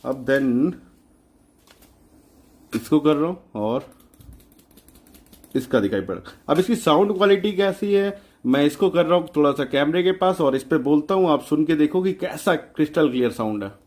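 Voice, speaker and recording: A man with a slightly high voice hosts a hands-on DIY tutorial. He explains things in a chatty, colloquial way and drops English technical words into his sentences. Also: animated, moderate pace, long pauses, darkish tone. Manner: chatty, colloquial, mixes English terms into speech. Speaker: DIY electronics channel host. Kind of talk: informal tutorial demonstration.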